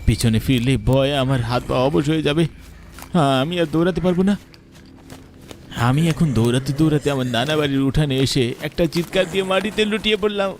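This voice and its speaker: A man's voice: on the high side, fairly quick, a little hiss or hum, natural voice. A man voicing a frightened young village boy who tells his ghost story in an earnest, dramatic first-person style for a horror cartoon. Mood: terrified, dramatic.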